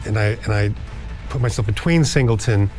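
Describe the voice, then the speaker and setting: A man with a fairly low voice takes his turn as a player in a tabletop game. He talks casually about the action, with music in the background. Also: fairly quick, darkish tone. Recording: music playing under the voice.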